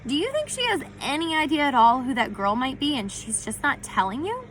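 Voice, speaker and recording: An excitable, quirky young boy from a storybook, voiced by a woman reading aloud. The voice sits high and sounds bright and keen. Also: brisk, a little hiss or hum, rough recording. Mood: curious.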